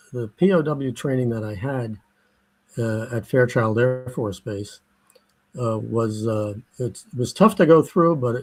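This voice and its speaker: An older man with an American accent is looking back on his life in an interview. He talks slowly and stops often, filling the gaps with fillers and starting phrases over. His voice sits at an ordinary, middle pitch. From United States. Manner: slow and halting, many fillers and restarts. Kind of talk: oral history interview.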